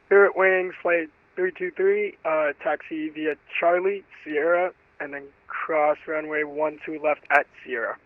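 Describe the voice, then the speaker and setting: Higher-voiced man on tinny pilot radio. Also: fairly even intonation, a little slow, few pauses, no echo. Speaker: airline pilot in a flight simulation. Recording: tinny, dry phone-like radio transmission.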